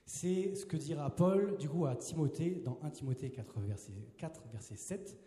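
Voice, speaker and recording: A young man in his twenties preaches to a youth crowd, chatty and playful, often tossing questions back to the audience. His voice sits a bit high and sounds mellow. The hall is big and roomy, with a long reverb trailing each phrase. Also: expressive, normal speed, slight background noise. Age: 20s. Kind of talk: casual joking sermon to a youth crowd. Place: large hall with a live audience. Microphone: handheld mic.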